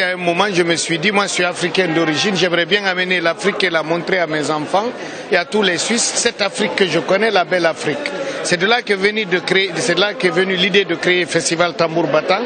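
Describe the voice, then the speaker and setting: Festival founder, man from Guinea, higher voice, crowd noise behind, eager interview talk. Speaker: festival founder and city councillor. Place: outdoors at a busy festival. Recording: noisy crowd around. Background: crowd chatter.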